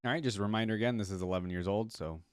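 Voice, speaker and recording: A man's voice: lowish voice, racing, clear, resonant tone, studio quality. A man doing casual reaction commentary as a host, thinking aloud and echoing what he hears.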